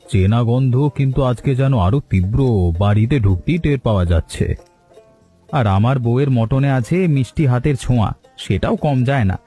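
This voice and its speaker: A man's voice: mid-range voice, fairly quick, dark tone, breathy edge, soft background music, natural voice. A man voicing a hungry, good-humored husband in a cartoon, chatty as he talks.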